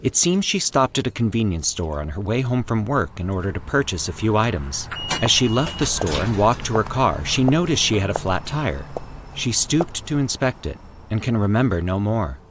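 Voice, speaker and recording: Low-voiced man narrating with dramatic swings. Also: sing-song, background noise. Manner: formal, deliberate, theatrical storytelling delivery. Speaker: host and narrator of a fiction podcast. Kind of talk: scripted horror story narration.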